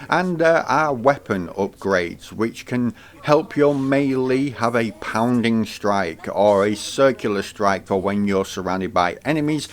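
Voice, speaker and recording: A man narrating a scripted video game review. He opens each phrase high and lets it drop at the end. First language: English. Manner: lively delivery, pitch rising at the start of each phrase and dropping at the end.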